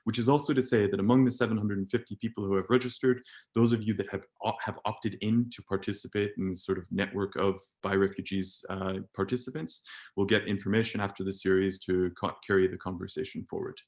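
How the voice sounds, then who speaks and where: A man's voice: fairly low pitch, steady tone, fast. A man moderating an online seminar, making loose, conversational announcements with many fillers. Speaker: webinar moderator.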